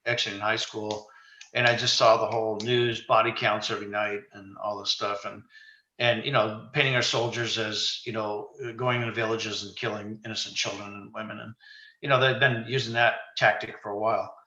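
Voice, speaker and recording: A man's voice: on the low side, level tone, a little fast, sharp tone, roomy sound, highs cut off. A man in his sixties chatting casually, opinionated and talking in long runs. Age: 60s. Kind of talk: casual chat.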